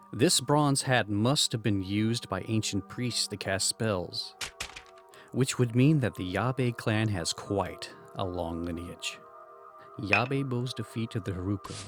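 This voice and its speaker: A calm, scholarly investigator of the occult, voiced by a man, weighs each point thoughtfully. His voice sits low and moves slowly over soft music. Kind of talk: fan manga dub.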